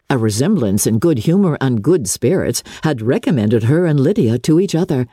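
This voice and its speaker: Expressive, low-toned woman narrating, very animated. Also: slightly rough, dry recording, studio quality. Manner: theatrical, measured, expressive. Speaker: audiobook narrator. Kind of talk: dramatic scripted literary narration.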